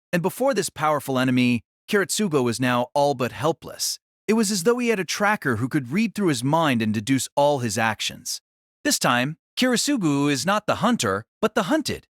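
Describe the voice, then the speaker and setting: A man reading scripted, dramatic novel narration as an audiobook narrator, in a measured, formal way. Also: medium pitch, no echo, clean studio sound.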